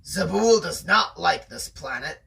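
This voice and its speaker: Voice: medium pitch, expressive, measured pace, vocal fry, pushed and bright, distant mic, exaggerated voice. Stern, steely storybook father, acted by a reader. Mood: grave. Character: stern, solemn, steely alien-hunter's father.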